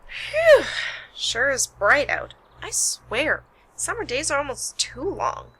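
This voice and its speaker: A woman playing a sarcastic, bossy detective in an amateur radio play, with a wry, brisk delivery. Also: high voice, wildly swooping pitch, a bit bright, heavily acted. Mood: businesslike. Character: sharp, sarcastic female detective leading a murder case. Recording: noisy amateur home recording.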